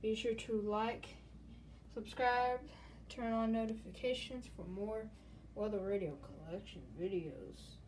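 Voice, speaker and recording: A woman hosting an informal show-and-tell vlog, talking haltingly into a handheld device in a small room at home. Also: on the high side, darkish tone. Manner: informal, halting, repeats stock phrases. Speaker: host of a gadget collection video channel. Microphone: handheld phone or camera, held close. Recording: noisy handheld home recording with clicks.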